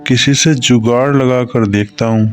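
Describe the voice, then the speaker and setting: A man's voice: mid-range voice, steady tone, moderate pace, natural voice. A man voicing a poor village farmer and husband in a moral story cartoon. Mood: worried, pleading. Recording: music under the voice.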